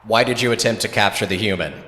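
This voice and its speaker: In a long, echoing hall an actor plays a curt male interrogator for an audio drama. His lowish voice is sharp and forceful, and he speaks in formal, clipped, impatient phrases. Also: fast. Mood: stern, official. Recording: echoey hall with long reverb.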